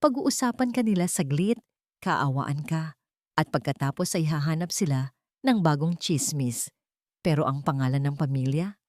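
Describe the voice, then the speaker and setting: A woman's voice: low-pitched, animated, moderate pace, a little mellow, no echo, clean studio sound. A woman voicing a cold, proud, wealthy matriarch in an audio drama, speaking with bitter menace. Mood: cold, menacing. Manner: bitter, threatening, whispering venom.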